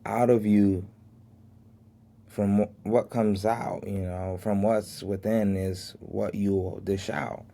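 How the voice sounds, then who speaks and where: A man's voice: lowish voice, little pitch movement, takes it slowly, vocal fry, dark tone. A man in his twenties, a podcast host whose first language was Haitian Creole, sharing life lessons in an earnest, faith-filled way and chuckling at himself now and then. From United States. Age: 20s. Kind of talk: solo reflective podcast talk.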